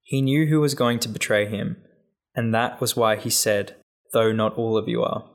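A man reading a Gospel passage aloud in a measured, solemn way. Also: medium pitch. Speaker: scripture reader.

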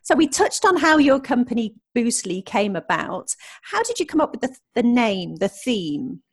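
A woman's voice: a little high, big pitch swings, normal speed, breathy edge. A woman hosting a podcast interview, friendly and encouraging as she reacts and asks questions. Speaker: podcast host. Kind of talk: casual interview conversation.

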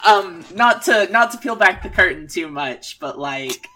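A woman from Alabama co-hosts a casual podcast chat. Her voice sits low. She talks loosely, swears without fuss and laughs often. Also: expressive, unhurried, pushed and bright. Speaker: podcast co-host.

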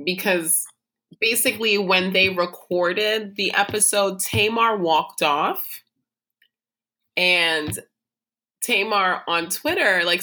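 A young American woman co-hosting a casual podcast with a bright, clear voice. She tells celebrity gossip in a chatty stream, full of fillers like "um" and "like". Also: mid-range voice. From United States. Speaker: podcast co-host. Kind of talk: casual chat.

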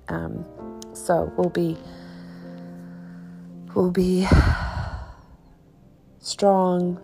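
A woman with a low, mellow voice hosts a faith podcast at an unhurried pace. She sounds a little croaky from a cold, and her delivery stays earnest and flat, with phrases that trail off softly. Faint music plays underneath. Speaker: podcast host. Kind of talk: devotional podcast talk and prayer.

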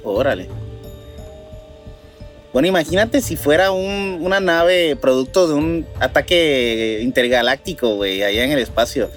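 Lively high-voiced Mexican man bantering over music. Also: a little slow. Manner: slangy, playful, swearing. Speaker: podcast news co-host. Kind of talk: casual banter. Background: background music.

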